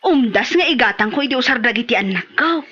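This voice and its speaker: A woman plays an eager, plaintive village neighbour and mother in a comedy drama. Her high, bright voice has an Ilocano Filipino accent. She speaks expressively, with wide swings in pitch. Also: animated, fast, slightly rough, dull audio, exaggerated voice. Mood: plaintive, grateful.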